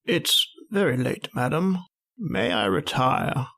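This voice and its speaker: Woman doing a formal puzzled servant, really low n croaky, husky, old radio horror style. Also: big pitch swings, slow pace, fairly bright tone, exaggerated voice. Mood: puzzled, deferential. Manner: formal, deferential. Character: polite, puzzled, deferential household servant.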